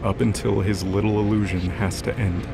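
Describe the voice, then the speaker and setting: A man's voice: lowish voice, fairly even intonation, moderate pace, creaky, very noisy. A man narrating a scripted fantasy drama as a storyteller. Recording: music under the voice.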